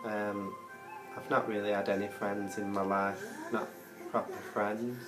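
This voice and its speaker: A man's voice: on the low side, little pitch movement, a little slow, rough recording. A man with a Yorkshire accent telling a story to camera in a hesitant, earnest way, with lots of ums. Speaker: vlogger. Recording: loud music playing underneath.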